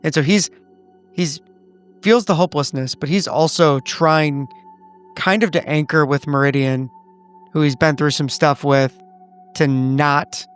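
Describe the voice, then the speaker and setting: A man with a fairly high, smooth and resonant voice talks through his character's feelings in a tabletop game. He is thoughtful and a little halting, and faint music plays behind him. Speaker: player.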